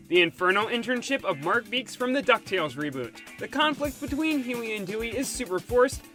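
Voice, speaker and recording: A man's voice: sits high, bright, forceful tone. A man narrating a scripted, opinionated cartoon review as the host, critical in his delivery.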